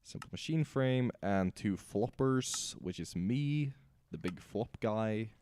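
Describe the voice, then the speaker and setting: Hoarse male game streamer, low mellow voice, lively pitch, thinks aloud while playing. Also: slow pace, slight background noise. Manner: talks to himself while building machines. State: raspy, sore voice. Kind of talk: casual gameplay commentary.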